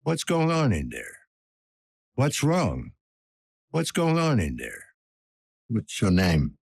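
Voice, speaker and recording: A man playing a weary, gruff older police detective in a cyberpunk video game, speaking tersely in a low-key, world-weary way. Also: wildly swooping pitch, slow pace, dry recording, heavily acted. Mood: concerned, questioning. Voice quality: husky, gravelly.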